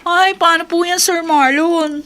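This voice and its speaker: An actress playing a worried, overworked Filipino office employee, complaining respectfully in radio drama style. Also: female voice, very high voice, fairly even intonation, measured pace. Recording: faint music behind.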